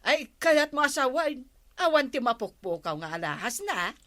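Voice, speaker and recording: A woman's voice: high voice, normal speed. A woman with an Ilocano accent playing a nosy, gossipy village neighbour in a radio comedy drama. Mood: prying. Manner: nosy, animated.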